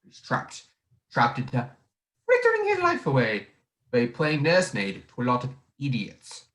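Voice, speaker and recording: A man's voice: medium pitch, huge pitch swings, normal speed, fairly bright tone. A young man playing a domineering, scheming old tycoon in a 1940s-style radio play, with an imperious, cajoling manner. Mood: smooth, scheming. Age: young adult. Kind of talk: 1940s radio drama acting. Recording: echoey room over video call.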